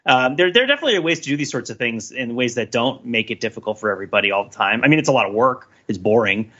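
A man co-hosting a podcast, chatting casually and with opinions, in an animated, rambling and emphatic way full of asides. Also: medium pitch, fast talker, dull audio. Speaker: podcast co-host. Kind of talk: casual opinionated panel chat.

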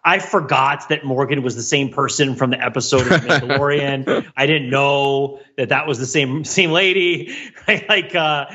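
A man's voice: mid-range voice, moderate pace, hardly any pauses, sharp tone, muffled recording. A man co-hosting a casual pop-culture discussion, analytical and rambling, often interrupting himself. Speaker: podcast co-host.

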